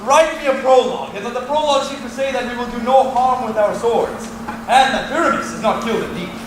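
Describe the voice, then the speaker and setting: Echoey, very high-pitched bossy man playing a amateur thespian, Bottom. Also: fairly quick. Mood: bossy, confident. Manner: bombastic, commanding. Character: Bottom, bossy, eager weaver and amateur actor. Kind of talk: theatrical Shakespearean stage acting. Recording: echoey room, distant mic, faint noise.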